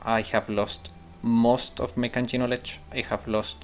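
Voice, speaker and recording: A man's voice: medium pitch, level tone, measured pace. Man chatting while gaming. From Italy. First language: Italian. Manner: rambling, thinks aloud with restarts and fillers. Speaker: streamer. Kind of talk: casual gameplay commentary and chat replies.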